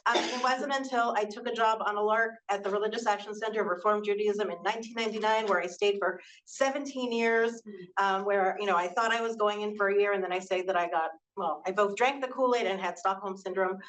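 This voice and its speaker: A woman's voice: mid-range voice, brisk, fairly bright tone, recorded from a distance, low-quality recording. A woman introducing herself on a conference panel, speaking candidly and with self-deprecating humor. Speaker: panelist, nonprofit executive director.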